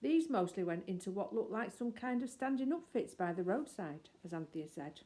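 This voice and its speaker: A woman reading a children's story aloud as expressive scripted narration. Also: medium pitch, normal speed. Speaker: audiobook reader.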